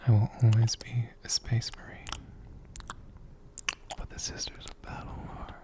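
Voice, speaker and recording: A soft ASMR host speaks in a breathy whisper. The delivery is slow and gentle, with deliberate pauses between short phrases. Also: medium pitch, completely flat delivery. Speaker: host of an ASMR channel answering viewer questions.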